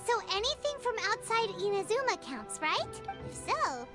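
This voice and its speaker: A woman's voice: very high-pitched, moderate pace, loud music behind the voice. A woman voicing a tiny, cheerful, eager childlike companion creature in an anime game. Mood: chatty, curious. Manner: refers to self in third person, excitable.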